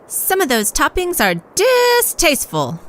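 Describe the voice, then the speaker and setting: A woman's voice: very high voice, huge pitch swings, unhurried, a bit bright, heavily acted. A woman voicing a picky female troll with a prim, refined manner in an audio drama. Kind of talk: fan audio drama acting.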